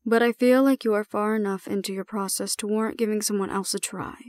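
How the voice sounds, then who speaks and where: Woman acting as stern, composed supervisor for an audio drama; formal, deliberate delivery, dry close sound. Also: mid-range voice, steady tone, darkish tone, no echo, lightly acted. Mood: calm, formal. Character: composed, stern female supervisor handling a dangerous ward. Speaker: voice actor in audio roleplay. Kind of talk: scripted audio roleplay acting.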